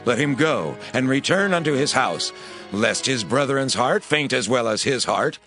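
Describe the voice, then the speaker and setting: A man's voice: medium pitch, expressive, normal speed, fairly bright tone, soft background music. A man playing an army officer formally addressing his troops in declamatory dramatized scripture style. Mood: stern, formal.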